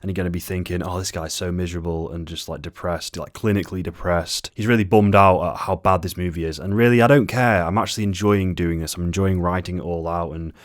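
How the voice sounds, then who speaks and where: Male film reviewer, monotone delivery, low-pitched, dry and self-deprecating, dead-dry sound. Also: fast talker, few pauses, no room sound. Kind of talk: scripted video essay review.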